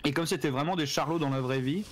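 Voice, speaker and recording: A man's voice: a little high, quick pace, soft background music. A man from Poitiers, a guest on a stream, telling rambling anecdotes full of fillers. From Poitiers, France. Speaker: co-host guest. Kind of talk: casual chat. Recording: loud background noise.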